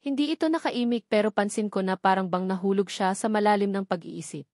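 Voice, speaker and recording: A woman's voice: medium pitch, fairly quick, darkish tone, no room sound, clean studio sound. A woman with a Filipino accent reading a first-person romance novel as scripted narration. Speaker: audiobook narrator.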